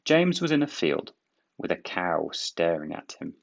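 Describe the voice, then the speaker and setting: A man reads a children's storybook aloud at a slow pace, with lively, expressive intonation. His lowish voice has plenty of creak, and the recording is slightly dull.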